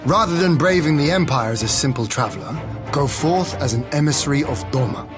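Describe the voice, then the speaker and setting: A man's voice: fairly high pitch, lively intonation, a little fast, lightly acted. A man playing a noble, resolute young lord in a video game cutscene, speaking in grave, formal, old-fashioned phrases over music. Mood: measured, earnest. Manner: formal, archaic turns of phrase.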